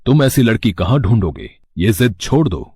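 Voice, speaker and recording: Man voicing an admonishing, husky fairy-tale king. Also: fairly low pitch, big pitch swings, moderate pace, darkish tone. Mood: skeptical. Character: stern but concerned royal father, a king.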